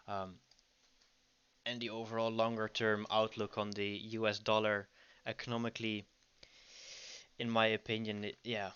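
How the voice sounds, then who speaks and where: A man's voice: fairly low pitch, pushed and bright. A man with a Dutch accent, casually teaching trading on a livestream and chatting with viewers, often checking in with "right?". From Netherlands. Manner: chatty, frequent ums and "right?" tags. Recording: dull lo-fi stream audio.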